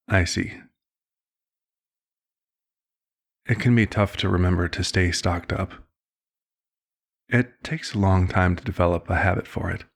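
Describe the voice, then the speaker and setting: A man playing a courteous elder vampire doctor in an ASMR roleplay, speaking formally and with measured, concerned authority, in a gravelly, husky voice. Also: low voice, full character voice. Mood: patient, reassuring. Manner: formal, measured, polite wording. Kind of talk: ASMR roleplay, scripted one-sided dialogue.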